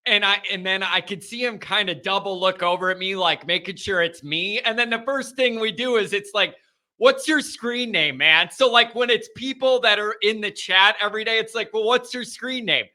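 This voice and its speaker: A man hosting a sports talk show, chatting casually and energetically while his voice sounds strained. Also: sits high. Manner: energetic, chatty, loud openers. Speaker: sports podcast host.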